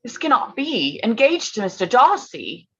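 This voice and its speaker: A gentle, caring Regency sister acted by a woman, with a husky voice and very animated intonation. She speaks tender, earnest period-drama lines, and the sound is a little dull. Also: fairly high pitch, normal speed, exaggerated voice. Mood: disbelieving. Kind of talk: staged reading of a period drama.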